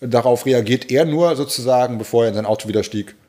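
A man in his forties from Germany, a pastor, thoughtfully telling personal stories, halting with many restarts and repeated words. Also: medium pitch, warm tone. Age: 40s. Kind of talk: reflective personal storytelling.

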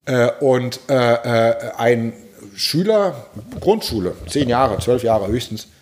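A man in his forties from Germany, a pastor, thoughtfully telling personal stories, halting with many restarts and repeated words. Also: mid-range voice, lively intonation. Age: 40s. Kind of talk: reflective personal storytelling.

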